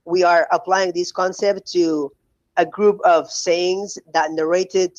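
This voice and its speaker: A man's voice: sits high, fairly even intonation, slow pace, no room sound, highs cut off. A man calling into a debate show, insistent and defensive as he argues his point. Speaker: caller. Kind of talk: heated call-in debate.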